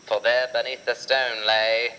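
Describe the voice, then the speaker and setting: A man's voice: medium pitch, level tone, measured pace, sharp tone, in a large hall, a little hiss or hum, rough recording. A man narrating an adventure serial in a dramatic, scripted storytelling style. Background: music.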